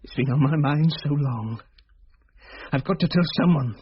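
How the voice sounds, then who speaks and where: An actor playing an anguished, ashamed father who speaks haltingly and pleadingly in a radio drama. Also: on the high side, breathy edge, lo-fi sound. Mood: grieving confession. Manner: halting, pleading, seeking reassurance. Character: anguished, ashamed father confessing a long-kept secret.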